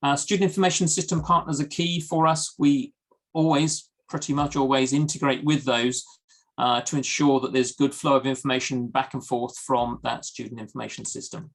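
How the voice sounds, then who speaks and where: A man from the UK giving a product presentation in a webinar over a video call, steady, with frequent ums and uhs. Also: medium pitch, normal speed. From United Kingdom. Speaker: guest presenter.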